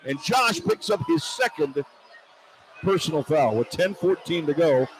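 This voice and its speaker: High-pitched American man calling basketball, noisy arena. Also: a little slow. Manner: excitable, partisan toward the home team. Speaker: radio play-by-play announcer. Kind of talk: live basketball play-by-play commentary. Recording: noisy live arena radio broadcast. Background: crowd and arena noise.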